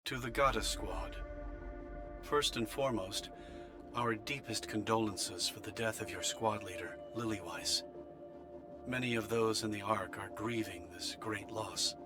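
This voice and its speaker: A solemn military official in a game cutscene, voiced by a man, speaks in a low register. He is measured, formal and diplomatic, as if reading out grave orders, with music clearly playing behind him. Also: close to an everyday voice. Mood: solemn, courteous. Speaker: official voice of the Ark leaving a recorded message. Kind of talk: formal scripted military briefing.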